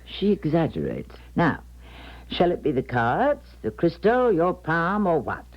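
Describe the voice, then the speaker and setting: An actress playing a grand, weary older clairvoyant in a radio drama, speaking in a measured, solemn, theatrical way. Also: female voice, low voice, very animated, full character voice. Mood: gracious, dry. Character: grand, weary clairvoyant woman recalling her gift. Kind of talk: 1970s radio drama acting and narration. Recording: muffled 1970s radio broadcast, music behind.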